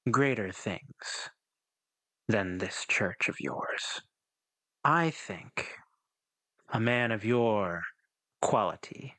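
A man plays an enigmatic man cloaked in smoke in a roleplay podcast. His voice is fairly low and very forward and crisp in tone. He goads calmly and cryptically, taking his time over each phrase. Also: full character voice, rough recording. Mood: cryptic, coaxing. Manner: slow, measured, cryptic. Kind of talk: in-character tabletop roleplay.

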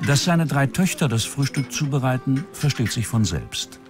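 A man's voice: mid-range voice, animated, normal speed, music in the background. A man with a standard German accent narrating a television documentary in a scripted style.

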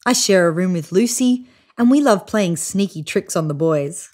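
Expressive woman reading a children's storybook. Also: lowish voice, normal speed. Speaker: storybook reader. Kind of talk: children's picture book read-aloud. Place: small room indoors, seated on a couch.

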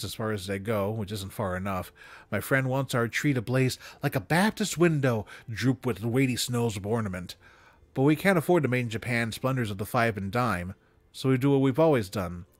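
A man's voice: mid-range voice, no room sound. Man reading a story aloud, dry close sound. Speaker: reader. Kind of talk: expressive literary storytelling, read aloud. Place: small room at home, indoors. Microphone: close desk microphone.

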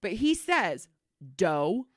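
A woman co-hosting a casual podcast, telling work stories with lively acted-out quotes and frank swearing. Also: medium pitch, very slow, dry recording, clean studio sound. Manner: animated storyteller, acts out callers and swears freely. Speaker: podcast co-host. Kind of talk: casual storytelling chat.

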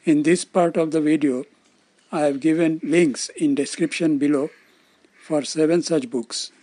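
An elderly man with an Indian accent presents in a dark, relaxed tone. His voice sits a little high for a man. He explains things formally, as if from a prepared script. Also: a little slow, dry recording. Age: older, around 70s. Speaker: presenter of loudspeaker-making videos.

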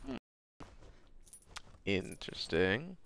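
A congested man with a head cold talks over his gameplay, his low voice rising and falling in a lively way. He mutters to himself and pokes fun at his own play. A faint hiss sits under the recording. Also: drawn-out words, vocal fry. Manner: chatty, self-deprecating, mutters to himself. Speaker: gameplay commentator. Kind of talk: casual let's play commentary. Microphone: desk microphone.

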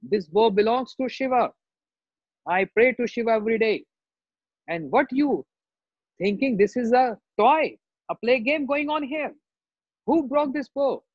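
Man acting ferocious warrior sage, high and husky. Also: unhurried, pauses often. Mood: furious. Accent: Indian. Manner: threatening, shouting demands, repetitive. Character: ferocious, boastful male warrior sage with axe. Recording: roomy, dull lo-fi sound.

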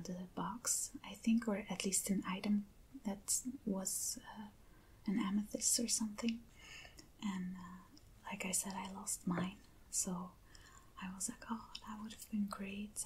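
A woman speaking in a hushed, breathy, gentle whisper, as in an ASMR unboxing video. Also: mid-range voice. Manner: hushed, gentle, unhurried with pauses. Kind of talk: soft-spoken ASMR unboxing. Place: indoors, at a table. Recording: clearly noisy hissy close recording.

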